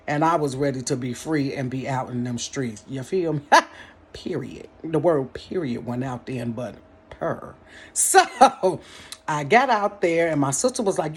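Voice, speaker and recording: A woman's voice: sits low, breathy edge. Laughing woman telling a story. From Cincinnati, United States. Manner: animated, laughs often, talks straight to the viewers. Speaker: storyteller vlogger. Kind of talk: casual storytime monologue to viewers. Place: her bedroom.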